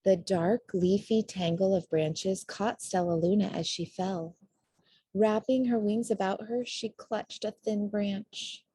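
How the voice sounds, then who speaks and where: Woman, children's storybook narrator, expressive, slow delivery, slightly husky, dry recording. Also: mid-range voice, dry recording, poor audio. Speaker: storybook reader.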